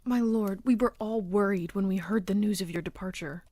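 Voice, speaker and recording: A woman's voice: medium pitch, normal speed, dark tone, dry recording, close to an everyday voice. A woman playing a devoted, anxious female general in a fantasy audio roleplay, earnest and deferential. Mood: concerned.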